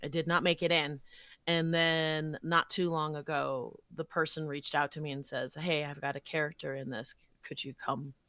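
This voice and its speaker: Female interview guest, a touch low in pitch, dry recording, casual and encouraging voice actor sharing experience. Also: level tone, normal speed, sharp tone, no room sound.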